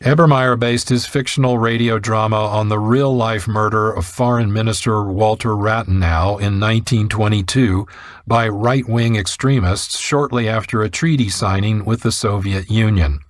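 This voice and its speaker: Casting: male documentary narrator, fairly deep, formal and measured, very dry recording. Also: no echo.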